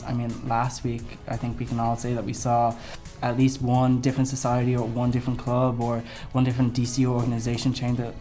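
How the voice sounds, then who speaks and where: A man's voice: mid-range voice, warm tone. A young man in his twenties with a Dublin Irish accent, answering questions earnestly for a student election campaign video, with frequent ums. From Ireland. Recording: music playing under the voice. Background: background music.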